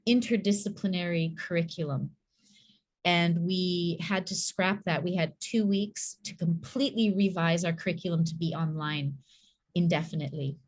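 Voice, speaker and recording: A woman who leads a school, giving a measured, reflective conference talk in a hall with the occasional soft laugh. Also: fairly low pitch. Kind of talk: conference presentation.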